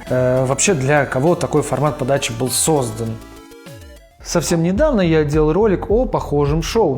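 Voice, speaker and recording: A man's voice: on the high side, darkish tone. A young man narrating a video essay in a conversational, slangy and opinionated way. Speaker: host of an animation review channel. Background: background music.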